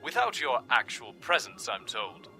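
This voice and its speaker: A man's voice: medium pitch, sing-song, unhurried, sharp tone, exaggerated voice. A man playing a curt, imperious senior fleet admiral in a scripted comic dub, clipped, commanding and sardonic. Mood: skeptical. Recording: music under the dialogue.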